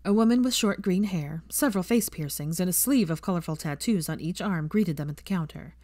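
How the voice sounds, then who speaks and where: A woman's voice: on the low side, fairly quick, dark tone, dry recording, studio quality. A woman narrating a scripted story as an audiobook reader.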